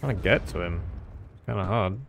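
A man with a low voice that crackles into fry talks his way through a strategy match. He rambles casually and puts himself down as he goes. Game noise is clearly audible behind him. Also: moderate pace. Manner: rambling, self-deprecating. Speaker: gamer commentating on own strategy match. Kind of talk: casual gameplay commentary.

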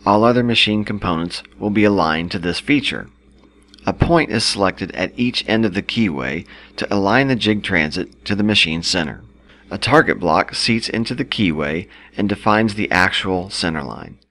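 A man reading a scripted instructional product narration in a measured, explanatory manner. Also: low-pitched, lively intonation, a bit bright.

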